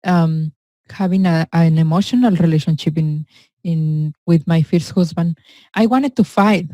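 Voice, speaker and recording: A woman whose first language is Spanish, talking in an animated, halting way during a conversational discussion, laughing often. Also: lowish voice, no echo. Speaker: co-host.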